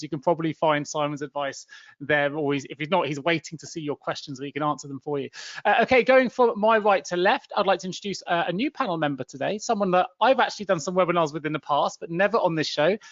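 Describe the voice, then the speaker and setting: A man's voice: high voice, dry recording, lo-fi sound. A man in his forties hosting a webinar as an upbeat, fluent presenter over a video call. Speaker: webinar and podcast host.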